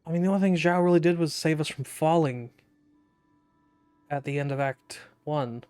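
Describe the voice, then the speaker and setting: Male gaming creator, slightly high voice, rambling casual play-along commentary, thinking aloud. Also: normal speed, dark tone, clear, resonant tone. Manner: rambling, self-interrupting.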